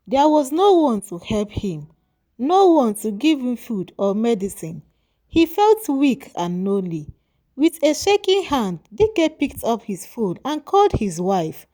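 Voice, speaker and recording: Slow, lilting woman storyteller. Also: fairly high pitch, dark tone. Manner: measured, moralizing storytelling. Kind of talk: scripted folktale narration.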